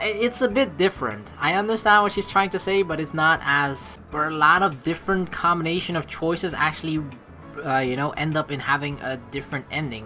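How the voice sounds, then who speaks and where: A woman giving casual, excitable gameplay commentary in an informal way, swearing freely. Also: deep voice. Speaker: let's play streamer. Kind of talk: casual gameplay commentary, reading game text aloud.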